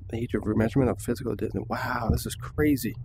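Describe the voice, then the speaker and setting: A young man giving casual, excitable gameplay commentary, swearing often and laughing a lot. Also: mid-range voice, quick pace, vocal fry, slight background noise. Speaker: gaming YouTuber.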